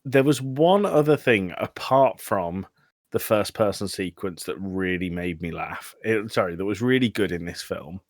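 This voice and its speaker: A man's voice: low voice, lively intonation, moderate pace, no echo, studio quality. A man hosting a casual film review chat in a blunt, sweary, exasperated way. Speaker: podcast host.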